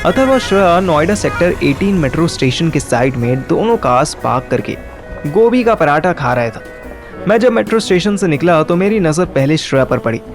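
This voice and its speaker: A man's voice: fairly high pitch, big pitch swings, fairly quick, faint music behind. A man narrating a first-person story and acting its dialogue in colloquial Hindi mixed with English. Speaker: narrator and lead character of an audio story.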